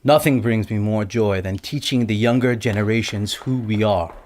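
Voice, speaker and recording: A man's voice: lowish voice, normal speed, a little mellow, slight background noise, natural voice. An actor playing a courteous island king in a pulp adventure audio drama, stately and formal. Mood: gracious.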